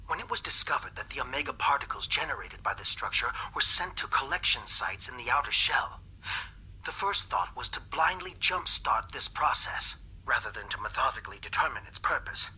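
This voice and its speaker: A fretful alien scientist. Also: male voice, medium pitch, animated, sharp tone, breathy edge, exaggerated voice, poor audio. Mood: fearful. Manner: measured, grave, fretful. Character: fearful dissident male alien scientist. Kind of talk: game cutscene voice acting.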